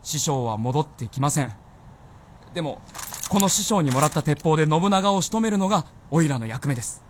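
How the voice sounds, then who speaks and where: A man's voice: on the high side, lively intonation, fairly quick, slight background noise, natural voice. A man playing a hot-headed, earnest young gunner with a fervent, boyish manner in a Japanese radio drama. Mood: determined.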